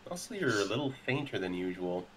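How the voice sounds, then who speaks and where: A man's voice: sits low, very animated, fast, a bit bright. A man roleplaying casually in a tabletop game, hesitant and thinking aloud as he talks. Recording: clearly noisy background.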